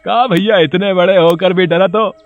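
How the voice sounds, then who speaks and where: Man playing a high-pitched rustic villager. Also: steady tone, normal speed, narrow, tinny audio, soft background music. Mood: teasing, practical. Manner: rustic, colloquial. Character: high-voiced, brave, teasing male villager.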